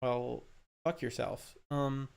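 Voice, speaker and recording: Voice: medium pitch, flat delivery, slow, creaky, darkish tone, clear, resonant tone. A video game streamer chatting casually and crudely during a livestream, with a conversational, loose delivery. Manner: crude, swearing. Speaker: streamer reading game dialogue aloud.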